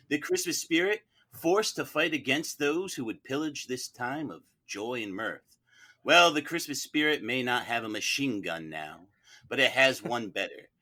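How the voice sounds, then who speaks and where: A man's voice: mid-range voice, bright, forceful tone. A man playing a trial lawyer, delivering a grandiose, dramatic closing speech from a script. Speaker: mock-trial lawyer on a podcast.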